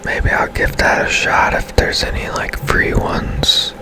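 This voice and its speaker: A man whispering softly and casually as he gives rambling, unhurried commentary on a video game for ASMR. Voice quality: soft unvoiced whisper. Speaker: game streamer.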